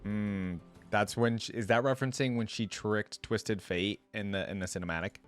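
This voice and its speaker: A man's voice: fairly low pitch, steady tone, moderate pace, clean tone, soft background music. A man hosting a reaction video, giving casual, curious commentary and musing aloud.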